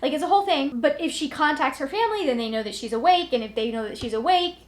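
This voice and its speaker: Woman, higher-pitched voice, casual vlog chat, rambling, conversational, self-deprecating. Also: expressive, quick pace. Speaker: book vlogger. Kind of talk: casual chatty vlog, recapping to viewers.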